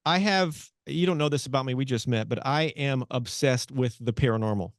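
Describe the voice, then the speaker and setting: A man hosting a radio interview, chatty and animated as he asks his guest questions. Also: medium pitch, a little fast, dry recording, clean studio sound. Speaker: radio host. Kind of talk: casual interview chat. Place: radio studio.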